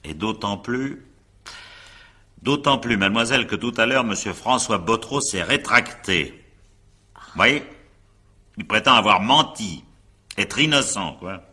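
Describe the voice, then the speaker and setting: An actor playing a sceptical examining magistrate in a radio drama, questioning formally and insistently with pointed pauses. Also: male voice, fairly low pitch, expressive, pushed and bright, husky, full character voice. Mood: grave, pointed.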